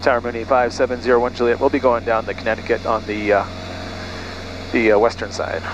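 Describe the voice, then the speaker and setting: A man's voice: medium pitch, level tone, fast talker, noisy background. A middle-aged man, a private pilot, talking casually and making radio calls through an aviation headset, sometimes leaving sentences unfinished. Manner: trails off mid-sentence. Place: small propeller plane cockpit in flight. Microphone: aviation headset boom mic. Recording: engine drone in small plane cockpit.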